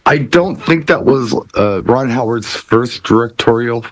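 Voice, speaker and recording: A man's voice: mid-range voice, a little slow, no room sound. A middle-aged man hosting a casual music panel chat with trivia questions. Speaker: host of a music talk show.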